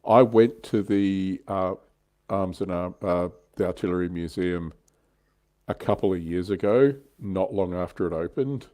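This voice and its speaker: A man's voice: low-pitched, unhurried, warm tone. A man co-hosting a livestream, chatting casually and explaining his opinions on a hobby. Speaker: livestream co-host. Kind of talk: casual hobby chat about tabletop wargames.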